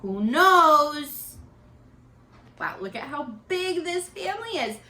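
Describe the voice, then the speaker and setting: A woman with a fairly high, bright voice leads a children's read-aloud lesson. She speaks expressively and encouragingly, at an unhurried pace, like a teacher with young pupils. The sound is roomy, recorded in a living room at home. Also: very animated. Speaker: elementary school teacher. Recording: roomy, echoey home video.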